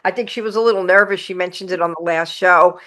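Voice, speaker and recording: A woman's voice: on the low side, fast talker, pushed and bright. A woman hosting an interview show, enthusiastic and chatty, often laughing as she asks questions. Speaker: podcast host. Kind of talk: casual interview chat.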